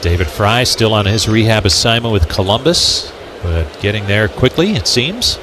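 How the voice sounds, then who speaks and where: A man's voice: fairly low pitch, animated, moderate pace. An American man calling a baseball game as a radio play-by-play announcer. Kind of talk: live radio sports commentary. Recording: clear background noise from outdoor ballpark.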